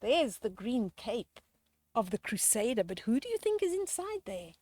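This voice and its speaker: A woman storyteller reading a children's picture book aloud with expressive, drawn-out emphasis and chatty questions to young listeners. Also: on the high side.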